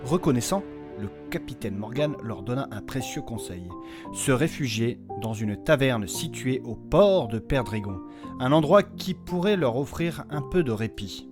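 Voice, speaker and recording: A man's voice: medium pitch, expressive, a little slow. A man delivering dramatic scripted narration as the narrator of a fantasy story. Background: clear background music.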